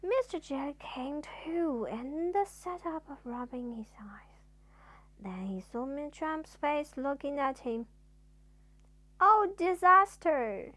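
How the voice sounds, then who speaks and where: A woman storyteller reading a children's bedtime story aloud in an expressive way. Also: high-pitched, takes it slowly, no room sound.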